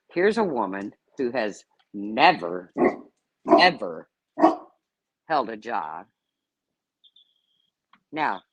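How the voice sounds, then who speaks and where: A woman's voice: extremely low pitch, no echo, poor audio. Blunt, sarcastic woman in her fifties. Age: 50s. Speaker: YouTube livestream host. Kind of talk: casual livestream rant, answering chat.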